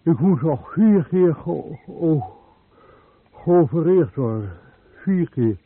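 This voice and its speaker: Radio drama: lonely burn patient, slow halting fragments and stammer, narrow tinny sound. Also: male voice, fairly high pitch, expressive, slight background noise. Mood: resigned. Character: badly burned male car-crash patient, halting, lonely, insecure. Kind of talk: Dutch radio drama acting. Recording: thin phone-like band, muffled radio recording.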